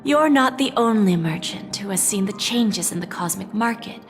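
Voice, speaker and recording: A woman's voice: mid-range voice, lively intonation, faint music behind, lightly acted. Woman acting a cool, shrewd corporate negotiator. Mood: cool, blunt. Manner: articulate, assured, measured and pointed. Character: confident, shrewd female corporate negotiator, coolly threatening. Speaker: game voice actor. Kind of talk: dramatic video game dialogue.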